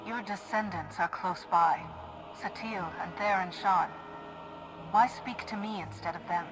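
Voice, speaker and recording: An actress playing a composed, decisive Jedi hero in a video game cutscene. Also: female voice, mid-range voice, unhurried, lightly acted, poor audio.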